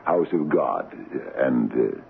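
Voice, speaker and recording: An actor playing a folksy Scottish house agent, a hesitant, rambling rural bachelor in a 1970s radio drama. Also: male voice, rumbling low, big pitch swings, takes it slowly, creaky, full character voice. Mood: affable, chatty. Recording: muffled, lo-fi old radio broadcast, faint noise.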